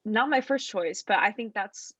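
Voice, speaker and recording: A woman's voice: medium pitch, animated, normal speed, a bit bright, husky, no echo, lo-fi sound. A young woman co-hosting a podcast in casual chat, curious and asking questions. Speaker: podcast co-host.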